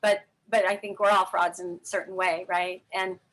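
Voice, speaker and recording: Bright-voiced woman rambling through her story. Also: on the low side, little pitch movement, normal speed, low-quality recording. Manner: rambling, many fillers and restarts; frank, earnest. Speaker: interview guest. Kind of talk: candid personal storytelling.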